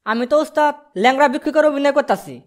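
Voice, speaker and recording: Cartoon sidekick acted out: whining sham beggar man, high-pitched, quick, pleading, Bangladeshi accent. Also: lightly acted. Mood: complaining. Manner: pleading, complaining. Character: whiny male fake beggar, a tattling sidekick.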